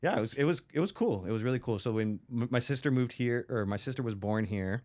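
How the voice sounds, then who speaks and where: A man's voice: medium pitch, fast, darkish tone, dry recording. A young man from Israel, a podcast guest, chatting casually and rambling with lots of "like" and "you know". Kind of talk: casual chat.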